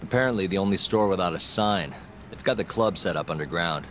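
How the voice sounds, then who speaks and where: An actor playing a cool, dryly vengeful private detective in a video game cutscene, laid-back and dry. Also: male voice, low-pitched, animated, a little fast, a bit bright, a little hiss or hum. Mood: cool, matter-of-fact.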